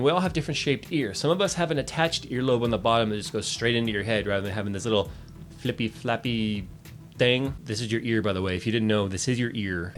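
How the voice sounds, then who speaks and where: A man giving a casual, jokey product review in a chatty way, often interrupting himself. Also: medium pitch. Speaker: tech reviewer.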